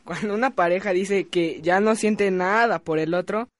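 A woman's voice: mid-range voice, moderate pace, no echo, natural voice. A woman playing a brash, outspoken, hot-tempered teenager in a radio drama, blunt and defiant.

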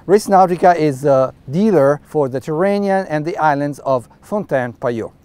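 A middle-aged man hosting a boat review interview outdoors on a catamaran deck, talking in a relaxed, chatty way with many fillers and a lapel mic. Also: fairly high pitch, normal speed, clean tone, no echo.

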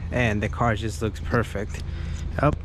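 A man's voice: fairly low pitch, flat delivery, unhurried. A man hosting a car video, chatting casually and enthusiastically outdoors. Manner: enthusiastic, laughs often. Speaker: video host. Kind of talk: casual chat. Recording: outdoor street noise.

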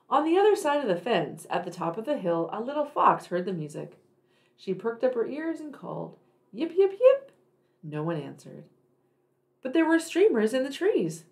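A woman reading a children's picture book aloud expressively, with emphatic, dramatic phrasing for young listeners. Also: fairly high pitch. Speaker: read-aloud storyteller for children.

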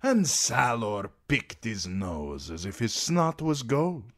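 A man voicing a swaggering, flamboyant male sellsword captain, delivering lines with drawn-out theatrical flair. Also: mid-range voice, very animated, a bit bright, voice pushed up, exaggerated voice. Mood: boastful.

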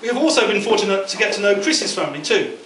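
Middle aged guy doing a best man speech over a pa, really noisy echoey room, measured joke telling. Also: sits high, animated, far from the mic. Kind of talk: prepared humorous wedding speech, read from notes to an audience. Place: indoor function room at a wedding reception. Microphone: handheld mic through a PA speaker, filmed from across the room. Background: loud room noise and guests.

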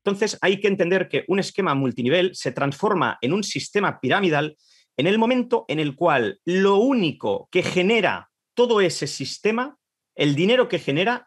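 Interviewee: man, slightly husky, deliberate and explanatory. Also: high-pitched, very animated. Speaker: interviewed expert.

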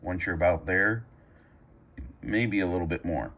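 A man's voice: deep voice, unhurried, a bit bright. A man giving a casual, improvised how-to, hesitant and thinking aloud as he demonstrates a device. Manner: hesitant, thinking aloud while pressing buttons. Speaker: video host demonstrating a radio scanner. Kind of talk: casual tutorial talk, improvised. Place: carpeted room indoors at home.

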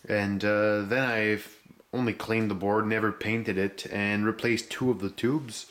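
A man with a fairly low voice gives a casual walkthrough of his restoration work. He searches for words as he goes, in a halting way. He is picked up indoors by a handheld camera's microphone. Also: fairly even intonation, a bit bright. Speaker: hobbyist restorer presenting a rebuilt radio. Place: indoors, room with concrete floor.

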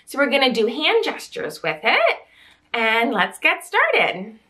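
A woman's voice: sits high, wildly swooping pitch, takes it slowly, bright, forceful tone. A woman in her thirties hosting children's story time, reading a picture book expressively and asking the listener questions. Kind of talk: animated picture-book read-aloud for young children. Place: small curtained room indoors.

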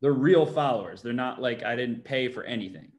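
A man's voice: medium pitch, brisk. A man in his thirties chatting informally on a video call, asking questions as he seeks advice. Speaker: business owner seeking advice. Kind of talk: casual chat on a one-on-one call. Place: bright indoor room at home, video call.

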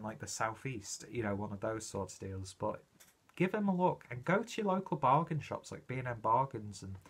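A man's voice: mid-range voice, husky. A British man giving a casual, rambling video review, chatty and self-deprecating as he goes. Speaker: beer reviewer presenting own video channel.

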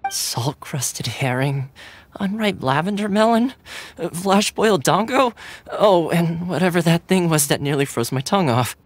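A man's voice: a little high, lively intonation, measured pace, slight background noise. A man voicing an easygoing, upbeat young retainer in a fantasy game, polite and chatty. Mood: queasy, groaning. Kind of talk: video game voice acting.

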